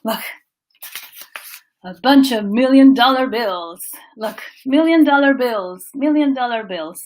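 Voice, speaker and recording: Woman from venezuela, casual livestream storytelling, fairly high voice, animated. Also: takes it slowly. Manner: animated, restarts phrases, briefly sings place names. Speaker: vlogger and coach.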